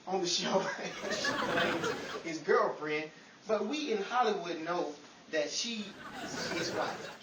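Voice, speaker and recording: A performer playing Henry, a bumbling, good-humored male 1950s radio sidekick with a halting, stumbling delivery. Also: medium pitch, lively intonation. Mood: halting, jovial. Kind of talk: imitation old-time radio banter. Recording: echoey, distant mic, crowd and loud noise.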